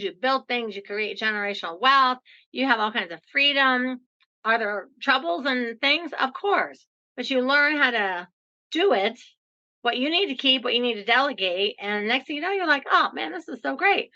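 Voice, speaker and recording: A woman's voice: sits high, sharp tone, lo-fi sound. A woman in her sixties hosting an interview, upbeat and chatty, laughing easily as she shares her own experience. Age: 60s. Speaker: podcast host. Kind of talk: casual interview chat.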